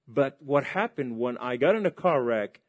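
Male preacher from Lebanon, mid-pitched voice, fervent testimony sermon, big swings in volume. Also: expressive, normal speed. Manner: impassioned, swings between loud and hushed.

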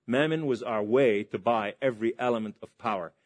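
Male preacher from Lebanon, mid-pitched voice, fervent testimony sermon, big swings in volume. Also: fairly quick. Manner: impassioned, swings between loud and hushed.

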